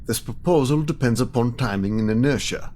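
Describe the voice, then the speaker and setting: An actor playing a coolly analytical official in an audio drama, speaking in a formal, measured, clinical manner. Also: male voice, medium pitch, darkish tone, a little hiss or hum, lightly acted. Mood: detached. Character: coolly analytical male official, precise calculating strategist.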